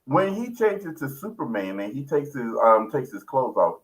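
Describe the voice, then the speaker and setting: Man chatting with very lively pitch. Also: moderate pace, rough recording. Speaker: guest co-host. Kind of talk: casual chat.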